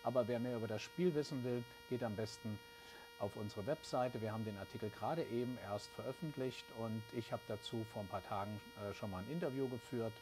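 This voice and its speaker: A man's voice: medium pitch, fairly quick, noisy background. A man in his fifties presenting a web show informally to camera as its host. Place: small bright indoor office. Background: music.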